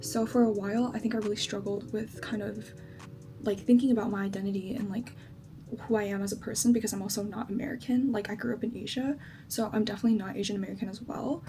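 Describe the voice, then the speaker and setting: A woman's voice: mid-range voice, fairly even intonation, quick pace, dark tone, a little hiss or hum. A woman in her twenties who grew up in China speaking Chinese first, chatting casually through a makeup routine with lots of likes and ums. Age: 20s. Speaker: beauty vlogger. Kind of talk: casual makeup vlog chat. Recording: background music under voice.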